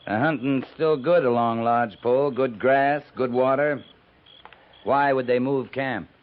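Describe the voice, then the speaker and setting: Actor as blunt cavalry captain, old muffled radio. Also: male voice, mid-range voice, a little slow, natural voice. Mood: calm, bargaining. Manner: curt, dry, sardonic. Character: blunt, weary US cavalry captain, coolly sardonic. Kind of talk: 1950s radio western acting. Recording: muffled narrow-band old 1950s radio recording.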